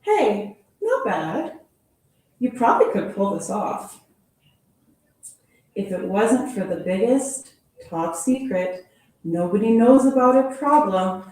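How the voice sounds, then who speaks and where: Brief: woman teacher reading picture books to kids, lively sing-song delivery, mellow tone, very echoey room, mic far off. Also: mid-range voice. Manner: expressive, playful storytelling with sound effects. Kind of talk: children's picture-book read-aloud.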